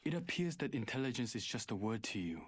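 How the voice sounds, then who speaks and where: A man's voice: medium pitch, big pitch swings, fast, breathy edge, no room sound. An actor voicing a guarded, arrogant male hacker in a video game, speaking in a terse, serious, superior way. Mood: cold, condescending. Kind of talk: video game character voice lines.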